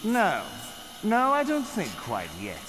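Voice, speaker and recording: An actor playing a cruel, haughty young Sith lord in an audio drama, with a mocking, theatrical, drawn-out delivery. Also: male voice, sits high, huge pitch swings, fairly bright tone, full character voice. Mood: gloating. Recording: long hall reverb, noisy audio drama.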